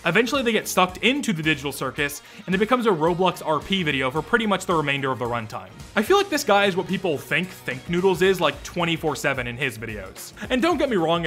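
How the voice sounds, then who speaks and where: A man's voice: on the high side, pushed and bright. A young man doing snarky, exasperated video commentary, swearing freely as he hands out sarcastic verdicts. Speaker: YouTube commentator.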